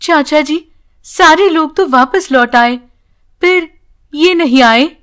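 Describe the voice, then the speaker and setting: A woman's voice: high-pitched, slow pace, fairly bright tone, voice pushed up. A woman voicing an anxious, tearful young village wife in a storybook tale, pleading and emotional. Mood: worried.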